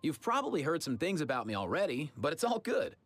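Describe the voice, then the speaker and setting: An actor playing a friendly, easygoing young adventurer in a video game, with an upbeat, casual, chatty manner. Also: male voice, a little high, big pitch swings, quick pace. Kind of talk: video game character lines.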